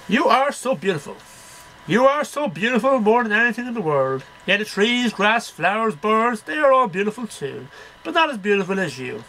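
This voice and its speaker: High, bright-voiced man reciting poetry. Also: big pitch swings, normal speed, low-quality recording. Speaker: amateur poetry reader. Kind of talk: reading poems aloud to camera. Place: small cluttered bedroom. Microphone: webcam at about arm's length.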